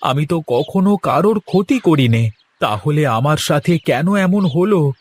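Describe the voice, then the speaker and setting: Man voicing a high-pitched, animated cartoon farmer. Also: unhurried. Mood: distressed. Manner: pleading, exclamatory. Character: clever, earnest poor village farmer. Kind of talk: Bengali cartoon folk tale dialogue.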